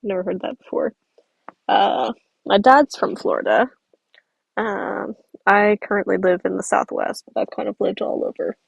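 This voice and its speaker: Very gravelly-voiced young woman streamer. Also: medium pitch, normal speed, no room sound, dull audio. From the American South. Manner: chatty and rambling, many fillers, laughs easily. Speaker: stop-motion creator streaming. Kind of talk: casual livestream chat reading comments.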